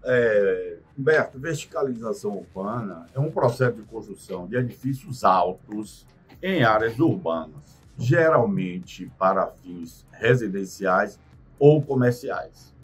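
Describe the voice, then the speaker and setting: A man, a guest in a radio studio interview explaining things in a measured way, often adding "né". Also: on the low side, lively intonation, breathy edge, faint music behind. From Salvador, Bahia, Brazil. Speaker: guest, real estate developer. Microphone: studio condenser mic on boom arm.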